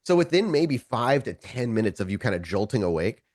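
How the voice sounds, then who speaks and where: A man acting as game master, narrating an improvised tabletop story in a casual, descriptive way with animated asides. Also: medium pitch, brisk, no room sound, clean studio sound.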